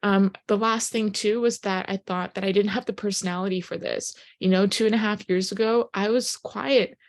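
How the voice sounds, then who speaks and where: A woman's voice: mid-range voice, fairly even intonation, moderate pace. A woman in her twenties whose first language is Japanese gives an upbeat, earnest motivational recruiting talk on a business call. She speaks in English and leans on "you know" as a filler. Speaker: presenter on a business opportunity call.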